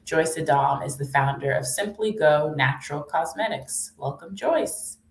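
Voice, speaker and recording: Female panel moderator, low register, roomy echoing call audio. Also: animated, a little slow, vocal fry. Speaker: panel host.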